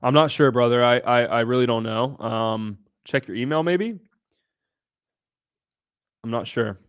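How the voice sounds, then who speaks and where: A man's voice: medium pitch, unhurried, clean tone, dry recording. A young man from Southern Ohio hosting a casual livestream, answering viewers' questions in a brash, blunt way and swearing freely. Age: young adult. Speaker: livestream host.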